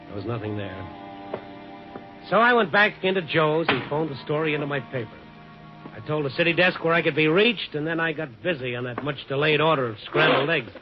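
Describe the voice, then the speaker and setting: An actor with an American accent playing a weary, wry newspaper reporter in a 1950s radio drama, both narrating and talking in scenes. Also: male voice, fairly high pitch, lively intonation, a little fast, lightly acted. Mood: wry narration. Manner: wry, hard-boiled. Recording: dull 1950s radio recording with music.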